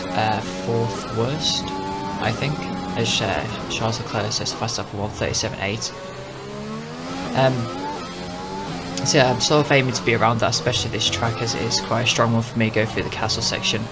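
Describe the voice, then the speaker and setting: A man with a croaky voice talks casually through his racing game, rambling and correcting himself as he goes. His pitch is average for a man, and loud music plays under him. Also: fairly quick. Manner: rambling, full of fillers and self-corrections. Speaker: gaming video host. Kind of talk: casual gameplay commentary.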